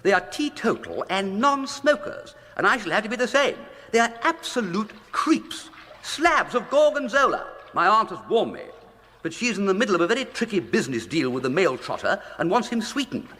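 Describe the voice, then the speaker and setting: An actor playing a flippant, idle young English gentleman with a breezy, plummy, upper-class accent and exclamatory period slang, in a British radio comedy. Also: high-pitched, sing-song, fairly quick, heavily acted. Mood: chatty, flippant. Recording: long echoing room reverb.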